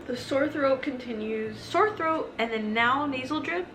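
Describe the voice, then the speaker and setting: A woman's voice: high voice, measured pace, music in the background. A young woman in a casual vlog, chatting in a rambling way while sounding congested from a cold. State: congested, sick voice. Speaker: vlogger.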